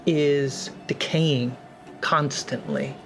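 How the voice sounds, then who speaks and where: A game master narrates a tabletop fantasy game. The voice sits at a middle pitch, building scenes in short, halting, descriptive phrases. Soft music runs underneath. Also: very animated.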